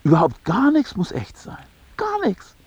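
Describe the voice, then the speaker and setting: An actor playing a cynical, brash online hustler in a radio drama, with a husky voice and emphatic colloquial speech. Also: male voice, high-pitched, huge pitch swings, normal speed, a little mellow, no echo, muffled recording, exaggerated voice. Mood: agitated realisation. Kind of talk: naturalistic radio drama dialogue.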